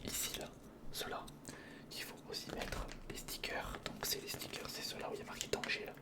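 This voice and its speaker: An ASMR creator whispering informal, step-by-step commentary to the viewer while building something by hand, close to the microphone. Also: fairly quick. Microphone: close recorder or studio microphone.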